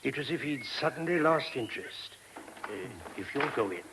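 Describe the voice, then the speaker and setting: An actor playing a polite, thoughtful male monk in a radio play, explaining things in a measured, slightly hesitant way. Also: medium pitch, expressive, close to an everyday voice. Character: courteous, thoughtful male monk of an abbey, a priest. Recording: hissy old radio recording.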